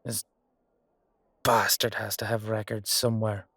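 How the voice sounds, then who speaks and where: A low, close and dry male voice from an actor as a fantasy knight with an Irish accent. He is gruff, fiercely protective and hot-headed, with a wry, loyal way of talking, made for a roleplay audio drama. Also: level tone, a little slow, a bit bright, no room sound, heavily acted. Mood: grim, wary. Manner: gruff, swearing, colloquial.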